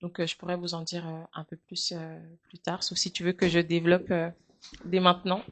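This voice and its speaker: A French woman in her thirties answering interview questions, speaking in a measured, earnest way with frequent hesitant fillers. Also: fairly low pitch, completely flat delivery, a bit bright. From France. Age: 30s. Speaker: guest, charity founder.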